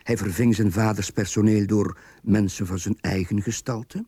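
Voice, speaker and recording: A man narrating a period radio drama in measured, literary Flemish-accented Dutch. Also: on the low side, fairly even intonation, dark tone, slightly rough, no room sound. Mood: measured narration. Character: measured male storyteller of a period tale.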